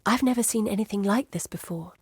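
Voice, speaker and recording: Woman playing female game quest-giver, scholar-adventurer, determined, measured, articulate, businesslike, medium pitch, dry studio sound. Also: breathy edge, no room sound, lightly acted. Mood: cautioning. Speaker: quest-giver character in an action RPG. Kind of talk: scripted game dialogue, briefing.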